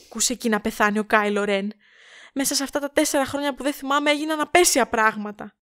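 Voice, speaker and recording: A woman's voice: sits high, fairly bright tone, clear, resonant tone. A woman playing an upset, melodramatic young female film fan in an audio drama, indignant and theatrical.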